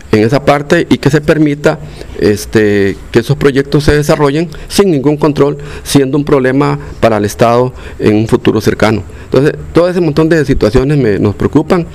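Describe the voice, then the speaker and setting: A man's voice: mid-range voice, darkish tone. An older man from Costa Rica with a Costa Rican accent, a local resident interviewed outdoors, speaking with many fillers and restarts. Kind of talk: field interview, casual. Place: outdoors in a neighborhood street.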